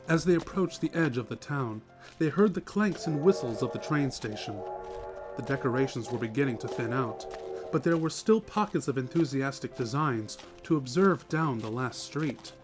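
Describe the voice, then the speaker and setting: A male narrator reads a scripted story in a medium-pitched voice. Music plays faintly behind him as he tells the tale.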